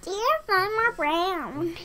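Child reader, very high and hugely animated, sing-song storybook delivery with music behind. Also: very slow. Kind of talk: children's storybook read-aloud.

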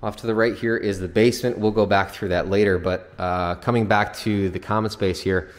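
A man with a fairly low voice narrates a house tour off the cuff. He explains features informally, like a builder's representative showing a client around. His voice carries long reverb from the empty interior. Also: fairly even intonation, a little fast. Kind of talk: casual unscripted walkthrough narration. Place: empty new house, indoors.

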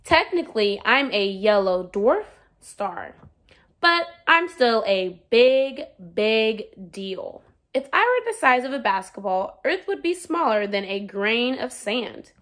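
A self-important talking Sun from a storybook, played by a woman reading aloud, high in pitch and unhurried. The delivery is playful, showy and full of bragging. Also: big pitch swings, fairly bright tone, exaggerated voice. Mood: boastful. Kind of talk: children's storybook read-aloud.

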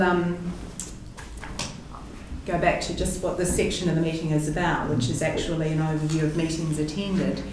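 A woman giving a measured, formal councillor's report at a council meeting. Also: lowish voice, a little mellow, slight background noise. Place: large council meeting room. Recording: echoey meeting room from far off.

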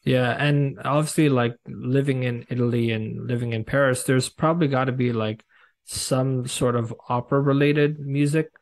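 A young man hosts a casual podcast from his home room over a video call. He asks questions eagerly and often restarts his sentences with fillers. His voice has a plain, mid-range pitch. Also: fairly even intonation, normal speed. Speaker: podcast host.

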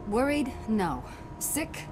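A woman's voice: fairly high pitch, sing-song, takes it slowly. A woman playing an anxious, frank doctor in a video game, speaking in a nervous, candid way. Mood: worried, frank. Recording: clear background ambient noise.

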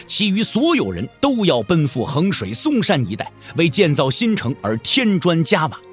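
A man narrates a martial-arts epic as a dramatic storyteller. His delivery swings widely in pitch, sitting fairly high for a man, with faint music underneath.

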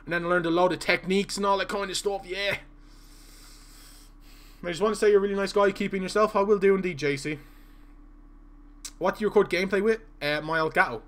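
A man's voice: sits high, steady tone, fast, pauses often, fairly bright tone. A young man with an Irish accent, chatting casually to his live-stream viewers while playing, reading out their messages and stuttering at times. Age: young adult. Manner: chatty, reads chat aloud, stutters at times. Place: small room indoors.

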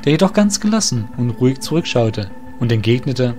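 A man narrating a fantasy audio drama in a measured storytelling way. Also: mid-range voice, very animated, warm tone, faint music behind.

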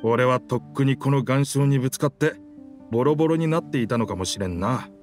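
An actor voicing a proud, dramatic talking pirate ship in an anime video game, in pompous, rough masculine speech. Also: male voice, mid-range voice, brisk, natural voice. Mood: wistful, subdued. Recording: music behind the voice.

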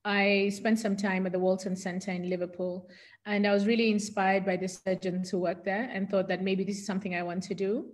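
A middle-aged woman with a Kenyan accent, speaking as an interview guest and explaining things in an articulate, conversational way. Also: mid-range voice. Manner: articulate, explanatory, defines medical terms.

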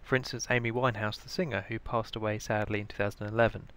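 A man's voice: on the low side, fairly even intonation, quick pace, fairly bright tone, clean tone. A British man giving scripted instructional narration as the presenter of a training tutorial. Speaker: information librarian, tutorial presenter.

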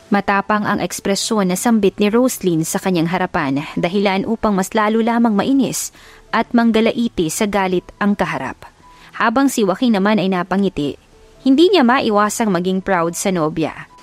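Female narrator for scripted romance audiobook, medium pitch, dramatic storytelling, dry studio-close sound. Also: normal speed, no room sound, faint music behind.

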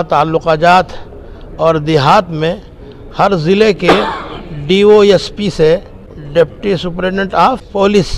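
A man, a cleric giving a formal statement in measured, halting phrases. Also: sits high. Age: 60s. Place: indoor meeting room with others seated. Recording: faint room noise behind the voice.

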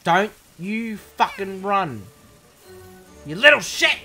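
A young man talks over his gameplay, sarcastic and quick to swear. His pitch swoops up and down with each outburst. Music plays clearly in the background. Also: big pitch swings, sharp tone. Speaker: gaming commentator. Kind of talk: let's play commentary.

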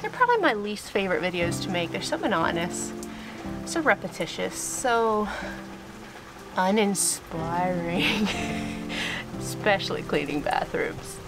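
A woman's voice: mid-range voice, big pitch swings, loud music behind the voice. A woman in her thirties vlogging as she cleans a small church, talking candidly and a little hurriedly into a handheld camera. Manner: chatty, candid, a bit hurried. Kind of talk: casual vlog narration while cleaning. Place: small country church, indoors and on the porch. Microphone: handheld camera at arm's length.

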